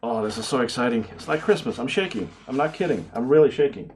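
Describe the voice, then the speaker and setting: A man giving casual unboxing commentary to camera, excitable and prone to awestruck exclamations and hesitations. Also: medium pitch, quick pace, slight background noise. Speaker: vlogger, radio amateur hobbyist.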